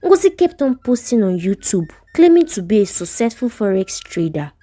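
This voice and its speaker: A woman's voice: medium pitch, very animated, normal speed, warm tone. A woman with a Nigerian accent narrating a scripted folktale as a storyteller.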